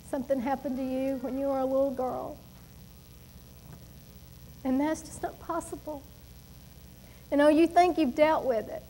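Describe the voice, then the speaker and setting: A middle-aged woman delivers a sermon from a church pulpit. Her voice sits high, and she preaches with emphatic, animated energy and quick asides to the congregation. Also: slight background noise, low-quality recording. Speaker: pastor. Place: church stage at a wooden pulpit.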